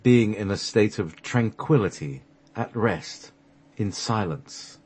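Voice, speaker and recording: Male radio host for a solemn scripted reading: deep, husky voice, measured delivery of mystical prose. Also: animated, a little mellow.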